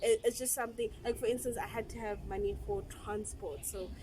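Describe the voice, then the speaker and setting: A woman, chatting casually with friends, animated and laughing, with many fillers. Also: on the high side, fairly quick. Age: 20s. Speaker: guest. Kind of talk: casual chat among friends. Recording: background noise.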